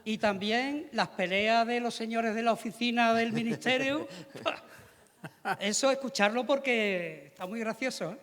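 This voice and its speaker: A high-pitched male voice with an Andalusian accent, chatting colloquially at a public presentation. He tells things like anecdotes, and the hall around him rings with long reverb. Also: measured pace, a bit bright. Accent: Andalusian Spanish. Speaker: radio sound technician. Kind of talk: informal speech at a presentation. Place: large indoor hall at a public event.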